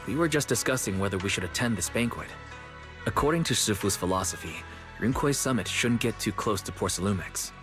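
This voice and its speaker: An actor playing an earnest, level-headed young disciple in a video game, explaining things in a measured way. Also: male voice, lowish voice, expressive, over music, close to an everyday voice. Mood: serious, informative.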